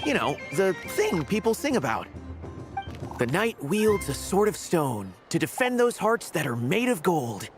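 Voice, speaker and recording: A woman's voice: on the low side, sing-song, unhurried. A woman voicing a wise, wry storybook creature in a video game, speaking like a storyteller. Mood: wry, knowing. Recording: music behind the voice.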